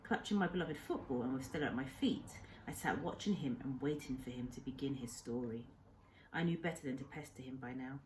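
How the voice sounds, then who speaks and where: A woman teacher reading a children's novel aloud as narrator, in a measured, expressive way. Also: very deep voice, slight background noise, low-quality recording.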